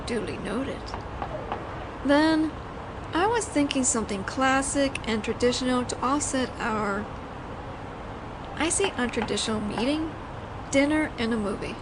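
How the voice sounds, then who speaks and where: Woman acting a slow, high-voiced flirty mafia boss lady. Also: background noise. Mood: pleased, confident. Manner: soft-spoken flirting, teasing, pauses as if hearing replies. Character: flirtatious, doting female mafia boss, teasing yet considerate. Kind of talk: intimate roleplay audio drama, addressing the listener as a lover.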